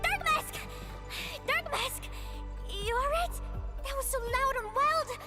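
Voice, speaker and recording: A woman voicing a tiny, excitable, childlike female companion in a video game, squeaky and exclaiming. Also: slow pace, loud music behind the voice. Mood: excited concern.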